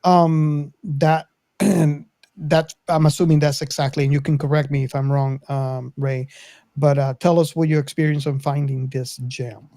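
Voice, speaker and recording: A man's voice: on the high side, level tone, a little slow, mellow, no room sound. A man hosting a casual discussion in a rambling, hesitant way. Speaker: podcast host.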